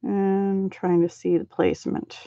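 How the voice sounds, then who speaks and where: A middle-aged woman hosting a live card-making stream, talking softly and thinking aloud as she works and chats with viewers. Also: mid-range voice, level tone, a little slow, dry recording. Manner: soft-spoken, thinks aloud while working. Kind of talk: casual live craft demo, chatting with viewers. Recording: dry, slightly dull home stream audio.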